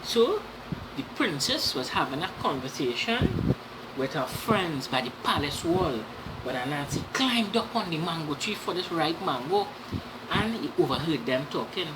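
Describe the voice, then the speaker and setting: A woman's voice: low voice, very animated, normal speed. A woman telling a children's story aloud, with explanatory asides. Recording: clearly noisy background.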